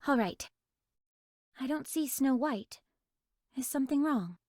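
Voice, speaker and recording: Young female squad leader for anime game: higher pitch, composed, purposeful, earnest. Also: expressive, unhurried, mellow, no echo, clean studio sound. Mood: exasperated.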